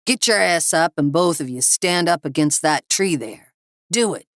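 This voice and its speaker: A woman's voice: low-pitched, expressive, normal speed, no room sound, studio quality. A woman voicing a menacing, foul-mouthed male thug with a gun who barks threatening orders in an audiobook. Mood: angry, commanding. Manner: barking, threatening commands.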